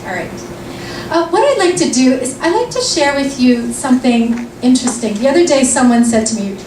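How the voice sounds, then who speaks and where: A woman's voice: high voice, animated, brisk. A woman from Vancouver hosting an event and reading from her book, chatty and full of ums and restarts. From Vancouver, Canada. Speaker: event host and author. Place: lounge event room, indoors. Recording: roomy lounge, mic far off, noisy.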